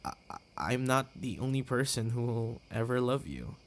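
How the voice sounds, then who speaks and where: A man playing a tender, heartbroken young boyfriend in an intimate ASMR roleplay, with halting, stammering delivery and sighs. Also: medium pitch, lively intonation, slight background noise, lightly acted. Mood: wistful, self-deprecating.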